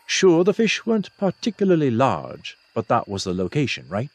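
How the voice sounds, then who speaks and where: Lively male fantasy audiobook narrator. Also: mid-range voice, normal speed, dry recording. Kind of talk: scripted fantasy novel narration. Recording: soft music behind the voice.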